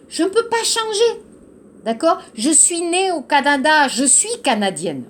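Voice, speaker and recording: A woman teaching to learners, explaining a text slowly and patiently, repeating key phrases and checking understanding. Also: high-pitched. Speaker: French teacher. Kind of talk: slow classroom explanation to learners.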